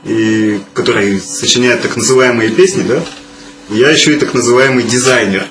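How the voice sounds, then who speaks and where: A man's voice: medium pitch, moderate pace. Ironic man in his thirties, echoey noisy room. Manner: ironic, self-deprecating. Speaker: musician guest answering questions. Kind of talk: casual group discussion. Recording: echoey room with clear background noise.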